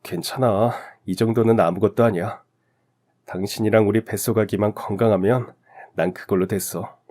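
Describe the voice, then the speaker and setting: A doting young husband and father, acted by a man in a radio story drama. The voice is low and very mellow, devoted and affectionate. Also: fairly even intonation, normal speed, close to an everyday voice. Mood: reassuring.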